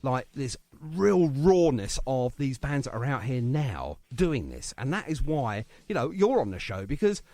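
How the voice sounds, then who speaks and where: Animated, chatty male radio host. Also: medium pitch, a little slow, few pauses, dry recording. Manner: chatty, enthusiastic, effusive. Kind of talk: casual music interview chat.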